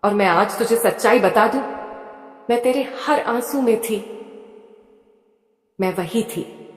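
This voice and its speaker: A woman's voice: a little high, slow, faint music behind. A woman voicing a tender, motherly goddess who soothingly consoles a grieving devotee in an intimate devotional monologue. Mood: consoling. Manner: soothing, intimate second-person address. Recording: echoey with long hall reverb.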